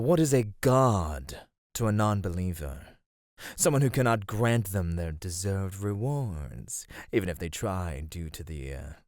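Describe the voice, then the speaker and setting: A man's voice: lowish voice, darkish tone, no echo, studio quality. A man voicing a flirty, vain male god of divine radiance in an ASMR roleplay, speaking in a teasing, affectionate way. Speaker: voice actor.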